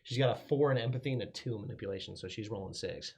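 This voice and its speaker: Male game player, fairly low voice, casual talk among friends at the table. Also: very fast, a little mellow, breathy edge. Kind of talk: casual group game chat.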